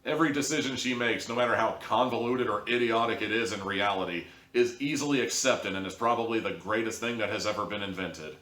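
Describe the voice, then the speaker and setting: A man's voice: on the low side, brisk, hardly any pauses, a bit bright. A man hosting an opinionated review, speaking in an exasperated, emphatic way. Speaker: host, audio drama reviewer. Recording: echoey room, distant from mic.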